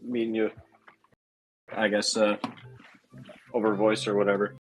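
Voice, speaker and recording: A man hosting a casual online voice space, relaxed and joking, with frequent "uh" fillers. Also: lowish voice, a little slow, slight background noise, rough recording. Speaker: podcast host.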